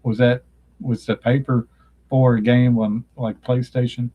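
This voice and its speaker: A man's voice: medium pitch, little pitch movement, a little slow, slightly rough, muffled recording. A man, chatting casually on a live stream from his childhood bedroom. He meanders, often stops to hunt for a name, and his voice is getting dry from talking so long. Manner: meandering, nostalgic, searches for names mid-sentence. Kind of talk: casual rambling chat.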